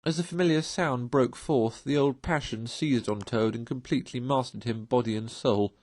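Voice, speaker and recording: A man reading a classic story aloud as expressive, scripted narration. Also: medium pitch, normal speed, no echo.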